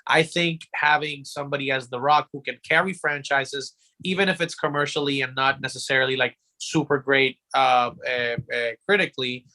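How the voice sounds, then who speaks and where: Bright-voiced man, emphatic podcast talker. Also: medium pitch, fairly even intonation, moderate pace, hardly any pauses, rough recording. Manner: emphatic, repeats words and phrases. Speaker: podcast co-host. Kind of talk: casual pop culture discussion.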